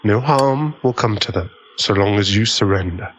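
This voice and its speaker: A man playing an earnest, kindly government agent in an audio drama, with a measured, avuncular way of talking. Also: lowish voice, slight background noise, lightly acted. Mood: grave, pleading.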